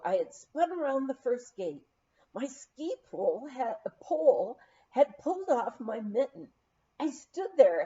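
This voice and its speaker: A woman reading a humorous memoir aloud in an unhurried storytelling manner. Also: high voice, warm tone. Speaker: reader. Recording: dull lo-fi audio.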